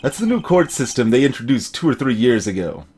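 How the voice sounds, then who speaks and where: A man's voice: mid-range voice, very animated, a little fast, a little mellow, slightly rough. A man voicing an earnest, determined young male defense attorney from a video game. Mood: explaining. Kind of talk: video game dialogue reading.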